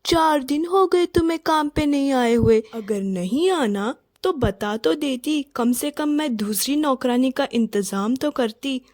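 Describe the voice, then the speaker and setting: A shrill, scornful village mistress in a cartoon story, as a woman puts her on, high-pitched and taunting. Her lines come slow and dry, close to the mic with no room around them. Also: a little mellow, no room sound. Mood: scornful scolding. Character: haughty scolding village mistress, middle-aged woman. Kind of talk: Hindi cartoon voice acting.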